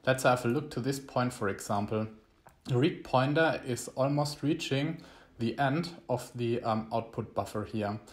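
A young man, not a native English speaker, giving a halting, explanatory screencast tutorial. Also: mid-range voice. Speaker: electronics tutorial host.

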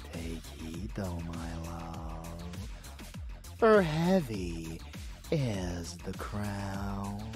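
A man playing a dark, obsessive vampire lover in an ASMR audio roleplay, with a theatrical, seductive delivery and murmurs. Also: deep voice, heavily acted.

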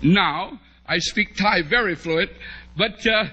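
High, forceful American preacher man. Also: slightly rough, highs cut off. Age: middle-aged. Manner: animated, humorous anecdotal telling with drawn-out emphasis. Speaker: preacher, bishop. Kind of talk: storytelling sermon to a congregation. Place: large church hall, at a pulpit before a congregation. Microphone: podium microphone on a stand.

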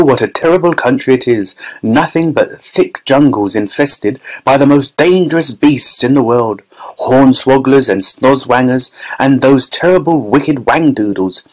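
A man's voice: medium pitch, natural voice, poor audio. A man reading a storybook, voicing an exuberant, theatrical chocolate factory owner who speaks with effusive, emphatic relish. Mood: dramatic relish.

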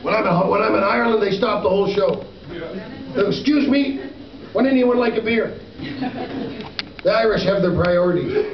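Middle-aged guy on a club stage cracking a deadpan joke, high voice, roomy and far off, kinda muffled. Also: a crowd in the background. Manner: rapid deadpan back-and-forth joke delivery. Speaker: singer-songwriter on stage. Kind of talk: live stage banter and joke telling. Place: small concert club stage, indoors. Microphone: stage vocal mic, recorded by a far audience camera. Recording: roomy, distant, muffled live club recording. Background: electric guitar.

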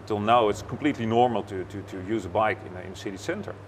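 Dutch-accented man, low voice, hesitant. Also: fast talker, a little hiss or hum. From Netherlands. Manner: hesitant, many uh fillers and restarts. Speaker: company executive. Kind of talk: factory tour interview. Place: warehouse then glass-walled office.

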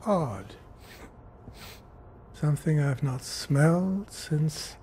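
Sinister, swooping doctor. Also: male voice, on the high side, animated, extremely slow, warm tone, a little hiss or hum. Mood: menacing. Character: sinister grinning male doctor, mocking and prying. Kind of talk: video game acting. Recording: faint game ambience behind.

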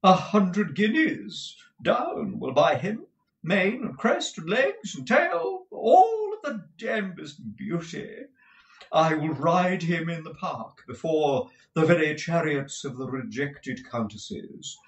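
A man voicing a foppish, wheedling, swearing husband from a period novel, with drawn-out, theatrical, excitable delivery. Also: fairly high pitch, reverberant, voice pushed up, heavily acted. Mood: gushing, coaxing. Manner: drawn-out, swooping, gushing delivery. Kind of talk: audiobook character voice from a period novel.

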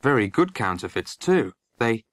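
A dutiful police constable read by an audiobook narrator, a deferential man. He reports in a measured, matter-of-fact way at a middle pitch, close and dry. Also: big pitch swings, no room sound, natural voice.

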